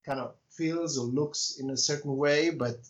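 Italian-accented man, reflective and measured. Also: a little high, steady tone, highs cut off. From Italy. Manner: measured, reflective, often pauses with fillers. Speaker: author, podcast guest. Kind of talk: conversational interview.

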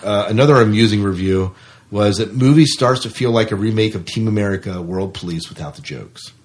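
A man's voice: on the low side, brisk. A man co-hosting a podcast, talking casually about films and reading out reviews. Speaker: podcast co-host.

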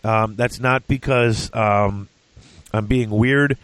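A man's voice: fairly low pitch, fairly even intonation, slow, no room sound, rough recording. Congested man hosting a podcast. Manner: chatty, self-deprecating, with fillers and restarts. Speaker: podcast host. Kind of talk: casual podcast chat.

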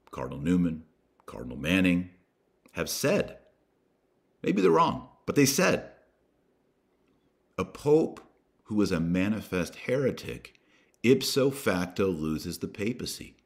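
A man with a deep, low-pitched voice speaks as a religious podcast host. He exhorts his listeners emphatically, pausing and repeating for weight, and mixes in colloquial turns of phrase. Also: animated. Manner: emphatic, preachy, with dramatic pauses and repetition.

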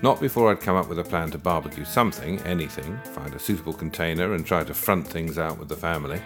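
A man's voice: low-pitched, fast talker. A man reading his own first-person story aloud in a dry, wry storytelling manner. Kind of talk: scripted first-person narration. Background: guitar music.

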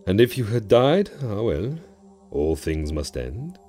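An ancient male djinn for a fantasy audiobook, proud and wily. The voice is very deep and swings dramatically in pitch. He declaims and taunts in a mellow, shadowy tone, with music behind. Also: big pitch swings, normal speed. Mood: wistful, solemn.

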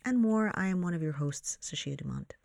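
A woman's voice: fairly low pitch, expressive, fairly quick, vocal fry, darkish tone, no echo, clean studio sound. Teasing, blunt woman podcast co-host. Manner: teasing, blunt, laughs often. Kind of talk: casual film podcast chat.

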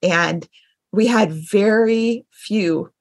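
Husky, creaky middle-aged woman. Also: mid-range voice, very slow, no echo. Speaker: interviewer, homeschooling mother. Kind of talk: casual conversational interview.

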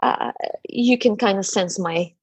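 A woman with a Bulgarian accent speaks with a slightly husky, breathy voice. She chats as a guest, with frequent fillers and laughs. The sound is dry and close. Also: medium pitch, unhurried, dry recording. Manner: chatty, laughs often, hesitant fillers. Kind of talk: conversational interview.

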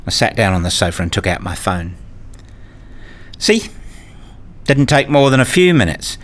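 A man's voice: lowish voice, sing-song, moderate pace, fairly bright tone. A man playing a nerdy, wry father who narrates his own story in an audio drama. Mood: wry narration. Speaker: narrator and lead actor in a radio play. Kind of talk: first-person audio drama narration and dialogue.

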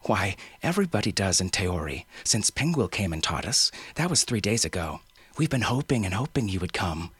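A man's voice: on the low side, sing-song, normal speed, a bit bright, slightly rough, no echo, exaggerated voice. A man voicing a cheerful, chattering five-year-old island girl. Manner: eager, breathless chatter.

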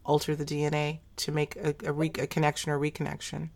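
A woman hosting an interview, hoarse at the end of a long day, who probes her guest and restates what she hears. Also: low-pitched, completely flat delivery, fast talker, darkish tone. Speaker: podcast host. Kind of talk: conversational interview.

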